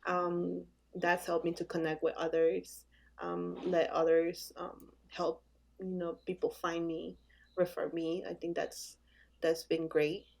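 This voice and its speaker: Low, gravelly woman speaking hesitantly. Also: level tone. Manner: hesitant, with many "um" pauses. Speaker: guest, brand designer. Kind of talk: casual interview answers.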